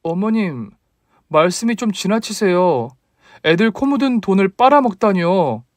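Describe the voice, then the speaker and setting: A young daughter-in-law who stays courteous yet pushes back firmly, her voice lifted noticeably higher, as a man puts her on. The delivery is earnest and a little indignant. The sound is dry and close. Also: lively intonation, normal speed, dry recording. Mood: polite. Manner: polite, indignant, pleading. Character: young wife, polite but firm daughter-in-law.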